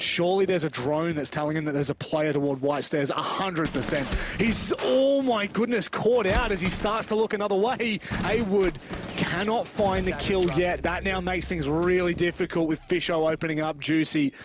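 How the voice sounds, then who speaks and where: A man doing live play-by-play esports commentary in an expressive, exclamatory way with joking asides. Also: sits high, normal speed, background noise.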